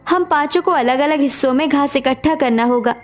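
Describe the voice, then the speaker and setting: A woman's voice: high-pitched, fairly quick, natural voice. A woman voicing a poor, devoted, hopeful young daughter-in-law in a cartoon family story. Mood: determined, hopeful. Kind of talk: cartoon dialogue acting. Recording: music behind the voice.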